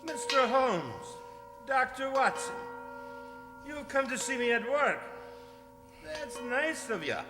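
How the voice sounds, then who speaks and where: A woman's voice: sits high, big pitch swings, slow pace, pauses often, over music, heavily acted. A woman playing an eccentric village postman, a secretive villain with a folksy, chatty manner, in a radio play. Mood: feigned friendliness. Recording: roomy hall echo, bells ringing.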